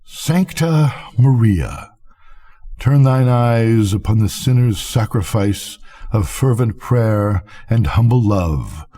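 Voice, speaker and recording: An older man voicing a dying wife in a gothic story, solemn and fervent, with husky, drawn-out, declamatory delivery. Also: lowish voice, lively intonation, warm tone. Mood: fervent prayer.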